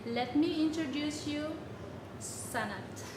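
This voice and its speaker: A woman chef instructor from Indonesia, leading a live cooking webinar in friendly, halting English. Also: high-pitched. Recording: echoey room with background noise.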